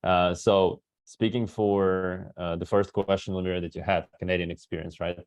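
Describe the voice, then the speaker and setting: A man's voice: deep voice, flat delivery, normal speed, darkish tone, no room sound, lo-fi sound. A man from Kazakhstan, a native Russian speaker in his thirties, talking at length as a webinar guest and often tagging "right?". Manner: talkative, tags many sentences with "right?". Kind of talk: webinar talk.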